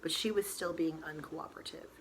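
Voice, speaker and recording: A woman storyteller reading a novel aloud in expressive, dramatic narration with deliberate emphasis. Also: low voice, low-quality recording. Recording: faint background noise.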